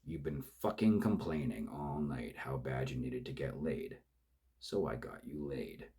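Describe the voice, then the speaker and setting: A man voicing a cold, menacing male killer with a rough, tobacco-cured voice and a slow, contemptuous drawl. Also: rumbling low, expressive, mellow, exaggerated voice.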